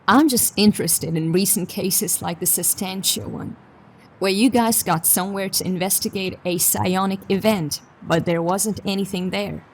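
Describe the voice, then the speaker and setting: A woman playing a determined, businesslike detective in a sci-fi audio drama, speaking in a measured, purposeful way. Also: medium pitch, steady tone, distant mic, slight background noise, lightly acted. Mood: businesslike, polite. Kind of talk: audio drama acting, dialogue and monologue.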